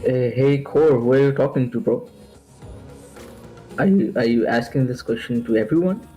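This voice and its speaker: A man with a mellow, darker voice chats loosely on a live stream, joking around and calling everyone bro. His English is not his first language. Soft music plays faintly behind him. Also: medium pitch, normal speed. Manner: casual, joking, says bro a lot. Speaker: livestream co-host. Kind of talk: casual live stream chat.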